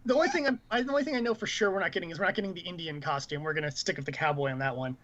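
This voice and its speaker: Podcast co-host for casual games talk, rapid speaker, muffled stream audio. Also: mid-range voice, fairly bright tone. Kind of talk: casual gaming chat.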